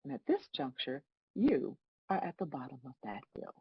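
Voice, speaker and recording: A woman plays God as a dry, scolding celestial judge in a comedic script read. Her husky voice swings widely in pitch, stern and full of sarcasm. The sound is close and dry but somewhat dull. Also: wildly swooping pitch, normal speed, dry recording, full character voice. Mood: scolding, sarcastic. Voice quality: husky, raspy.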